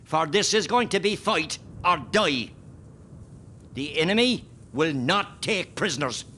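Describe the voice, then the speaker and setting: A man's voice: fairly high pitch, animated, measured pace, sharp tone, a little hiss or hum. An actor playing a resolute guerrilla commander who firmly rallies his men in a radio play. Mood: grave, rallying. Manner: firm, rallying.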